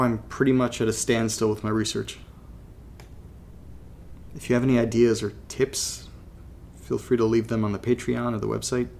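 A man acting as an anxious young American podcaster with heavy vocal fry, speaking in a halting, confiding way. He broods through a horror audio drama monologue at an ordinary male pitch. A low rumble of noise sits behind him. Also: darkish tone, lightly acted. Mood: casual.

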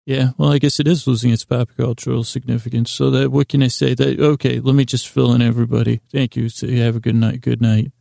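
A man hosting a bedtime podcast in a rambling monologue, mumbling and stumbling apologetically through halting, meandering, self-deprecating asides. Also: medium pitch, level tone, creaky, warm tone, no room sound, clean studio sound. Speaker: podcast host. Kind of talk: rambling bedtime monologue to help listeners sleep.

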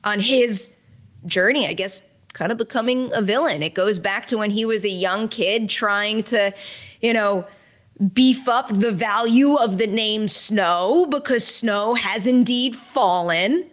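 A woman's voice: mid-range voice, a little slow, dull audio. A woman hosting a casual film review chat, speaking in an emphatic, enthusiastic, conversational way. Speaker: host and film critic.

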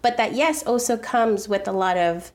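An American woman in her thirties, a food video creator, speaking earnestly and thoughtfully in an interview, often pausing to restart her sentences. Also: a little high, darkish tone. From United States. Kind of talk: reflective interview conversation.

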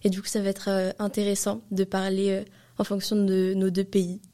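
A woman's voice: mid-range voice, monotone, normal speed, warm tone. An eighteen-year-old woman from France, a student guest on a podcast, chatting casually about herself. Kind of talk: casual personal chat.

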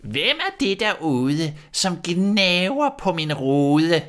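A man voicing a cruel, greedy old witch with a thin, crackly voice and slow, drawn-out speech. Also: fairly high pitch, very animated, fairly bright tone, pitched above the performer's own voice. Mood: coaxing.

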